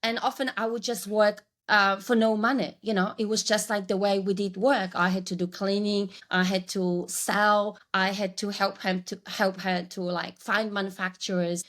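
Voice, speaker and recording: A woman's voice: medium pitch, level tone, moderate pace, few pauses, fairly bright tone. A woman in her forties with a Polish accent, a guest on a podcast interview, telling her career story reflectively, with frequent restarts. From Poland. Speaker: guest, branding coach.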